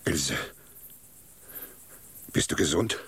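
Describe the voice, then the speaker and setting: An actor playing a superstitious, fearful village man in a German radio play, husky and creaky, giving hushed, urgent warnings. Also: extremely low pitch, lively intonation, drawn-out words, full character voice. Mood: relieved awe.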